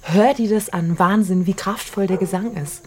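A woman's voice: mid-range voice, normal speed, slightly rough, no room sound, close to an everyday voice. A woman acting in a naturalistic radio drama as an eager, insistent reporter out recording in a village. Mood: enthusiastic.

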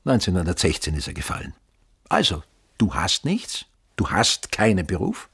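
An actor playing a brisk, boastful older father-in-law in a radio drama, blunt and self-important, with a husky voice. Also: low voice, huge pitch swings, fairly bright tone, exaggerated voice. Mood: blunt, businesslike.

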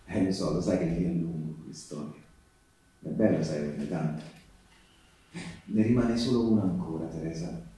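A man playing a storyteller of partisan war tales, delivering dramatic theatrical narration with emphatic repetitions and pauses. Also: low-pitched, natural voice. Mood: intimate, subdued. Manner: emphatic repetitions, swinging from urgent cries to hushed pauses. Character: man telling partisan war stories to Teresa, intimate and grave. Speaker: stage actor and storyteller. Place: theatre-like echoing space with live musical accompaniment. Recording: echoey live stage, distant mic, music behind.

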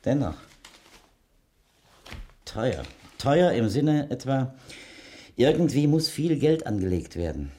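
An actor playing a measured, sceptical senior police detective in a radio crime drama from the 1970s. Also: male voice, mid-range voice, sing-song, warm tone. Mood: musing, curious.

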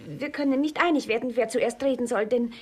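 An actress playing a timid, polite young daughter in a classic comedy radio play, apologetic and respectful, with a slightly husky voice. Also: female voice, fairly high pitch, a little fast, a little mellow. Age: young adult.

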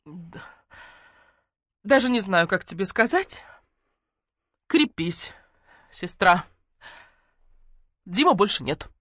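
Woman putting on a high, sugary, melodramatic young woman. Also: animated, slow pace, long pauses, fairly bright tone, dry recording, studio quality. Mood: sugary, consoling. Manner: plaintive, sing-song, sugary. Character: Lenka, melodramatic young woman, mournful, sugary, tactless comforter. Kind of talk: audiobook character dialogue.

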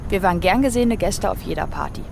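A woman's voice: on the low side, lively intonation, fast, gravelly, background noise. An actress playing a young female hippie follower who recalls her leader admiringly in a radio play. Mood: admiring, reminiscing. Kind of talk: German radio play monologue. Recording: music behind the voice.

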